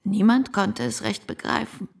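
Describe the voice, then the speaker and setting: An actress playing a wistful aunt in a radio play, speaking with a gravelly, husky voice that swings between high tones and whispers. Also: female voice, steady tone, measured pace, full character voice.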